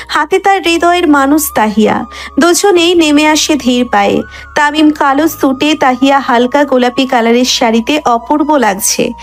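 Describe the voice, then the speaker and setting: High-voiced woman narrating a story over music. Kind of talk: scripted dramatic story narration. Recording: background music under the voice.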